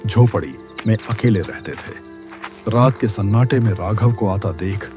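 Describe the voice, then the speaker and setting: A man's voice: lowish voice, a little slow, over music. A man reading scripted horror story narration.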